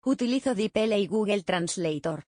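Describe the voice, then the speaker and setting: A woman's voice: mid-range voice, animated, moderate pace, a little mellow, dry recording. Woman reading steadily, dry close sound. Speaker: reader of survey answers. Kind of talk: scripted reading.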